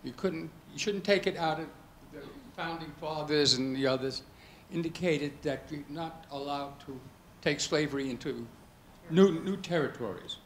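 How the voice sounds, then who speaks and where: A man in the audience at a public talk, putting a question in halting, careful phrases. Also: fairly high pitch, slight background noise, poor audio. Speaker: audience member asking a question. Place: lecture hall audience seats.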